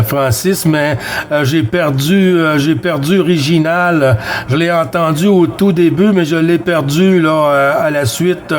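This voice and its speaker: A man chatting as a CB radio operator, giving friendly greetings and sign-offs in a halting, hesitant way. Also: on the high side. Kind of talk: radio contact chat, exchanging sign-offs.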